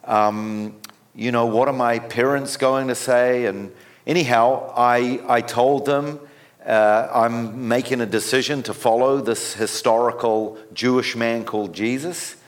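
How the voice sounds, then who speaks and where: A man's voice: medium pitch, steady tone. A man in his fifties with a New Zealand accent, giving a sermon as a guest speaker and often stopping on fillers and restarts. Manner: halting, with many fillers and restarts.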